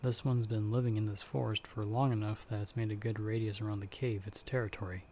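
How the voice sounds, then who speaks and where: A man playing a calm, protective monster hunter in an ASMR audio roleplay, giving hushed, measured, reassuring instructions. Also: lowish voice, slight background noise, natural voice. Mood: steady, guiding. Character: calm, protective male monster hunter guiding someone by telepathy.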